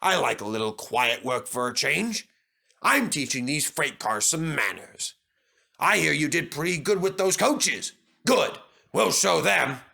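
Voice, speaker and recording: A man's voice: fairly high pitch, very animated, unhurried, creaky, sharp tone, breathy edge, full character voice. A man voicing a proud, boastful male express steam engine in a children's story, with a pompous, declaiming manner. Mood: friendly.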